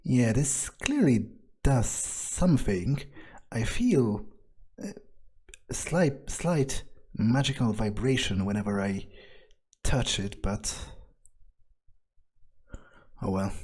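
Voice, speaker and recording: A player narrating a video game in character, thinking aloud in a sardonic way with pauses mid-sentence. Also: medium pitch, animated, a little mellow, breathy edge. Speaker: game player role-playing his character.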